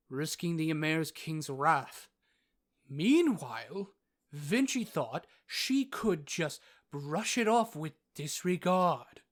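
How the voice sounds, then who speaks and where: A man playing a learned, easily exasperated doctor, narrating a fantasy audio drama in a measured, earnest way. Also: fairly high pitch. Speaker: narrator and lead character.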